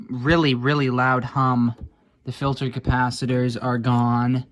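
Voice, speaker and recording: A man giving casual, hands-on repair commentary as a hobbyist, thinking aloud in an informal way. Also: mid-range voice. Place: indoors.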